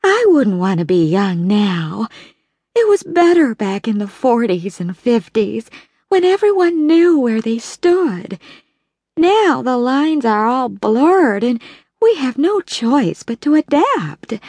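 A doleful middle-aged lady at the bridge table, as a woman narrator puts her on, who draws out her words slowly and sighs over the present. Her voice sits high and swoops upward, recorded close and dry. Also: very animated, darkish tone, breathy edge, dry recording, exaggerated voice. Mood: lamenting. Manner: slow, lamenting.

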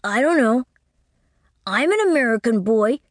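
A cheeky young American boy, boastful and loud about his home country, played by a woman reading a storybook. His voice sits high and leaps around with bratty emphasis. It is recorded close and dry. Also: expressive, normal speed, no room sound.

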